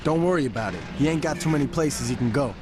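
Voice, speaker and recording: A man's voice: fairly high pitch, expressive, quick pace, heavy background noise, lightly acted. A man playing a brash, streetwise gang lieutenant in a video game, punchy in delivery. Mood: urgent.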